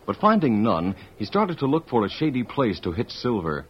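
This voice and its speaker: A man's voice: mid-range voice, sing-song, normal speed, close to an everyday voice. A man narrating an old-time radio western in a scripted, dramatic storytelling style. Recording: muffled, hissy vintage radio recording.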